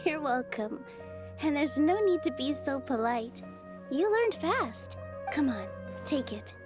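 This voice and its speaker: An actress playing a gentle young theater dancer in a video game, speaking in an earnest, encouraging way. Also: female voice, high-pitched, animated, slow. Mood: warm, earnest. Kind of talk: scripted video game dialogue. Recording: background music under the dialogue.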